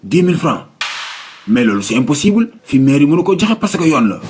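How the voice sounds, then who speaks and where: A man's voice: fairly high pitch, very animated, fairly quick, a little mellow, a little hiss or hum. An actor playing a stern, curt policeman in a comedy cartoon, with a Senegalese accent. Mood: official, questioning. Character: stern, no-nonsense policeman questioning and ordering people around.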